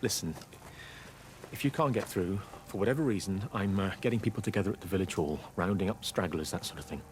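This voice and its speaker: An actor playing a steady, concerned village man in a naturalistic video game drama. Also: sits low, quick pace, a little hiss or hum, natural voice.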